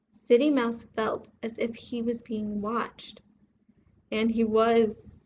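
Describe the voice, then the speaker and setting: A woman hosting a bedtime read-aloud for young children, expressive and putting questions to her listeners. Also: fairly high pitch, lo-fi sound, slight background noise. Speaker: children's story reader and host.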